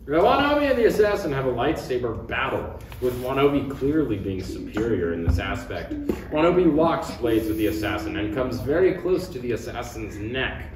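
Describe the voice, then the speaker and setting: A man's voice: medium pitch, big pitch swings, moderate pace. A man narrating stage directions from a script at a table read. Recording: echoey room, distant mic, audience noise.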